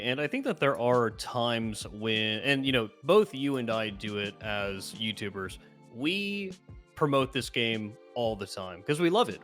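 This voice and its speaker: A man with a mid-pitched voice chats casually about video games, stressing his points with emphasis. Faint music plays behind him. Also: a bit bright. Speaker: gaming YouTuber. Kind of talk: casual video game discussion.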